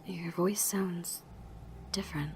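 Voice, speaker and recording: A woman playing a blunt, deadpan young woman with dry delivery in a fan audio drama. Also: fairly low pitch, slow. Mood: quiet concern. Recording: background noise and ambience.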